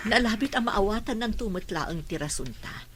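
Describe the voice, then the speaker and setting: A woman's voice: medium pitch, expressive, moderate pace, a bit bright, a little hiss or hum, lightly acted. A woman playing a patient, caring middle-aged mother in a radio drama, soothing and coaxing. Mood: soothing, concerned.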